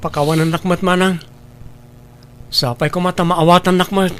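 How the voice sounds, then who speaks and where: A man's voice: sits high, level tone, moderate pace, music in the background, lightly acted. A man with an Ilocano accent playing a firm but helpful younger sibling in a radio drama. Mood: apologetic. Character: firm younger sibling who helps out the elder sister.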